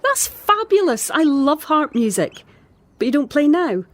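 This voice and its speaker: A woman acting in an audio drama as a troubled, sensitive island woman, earnest and expressive, with a Scottish accent. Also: high-pitched, measured pace, dry recording. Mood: bright, encouraging.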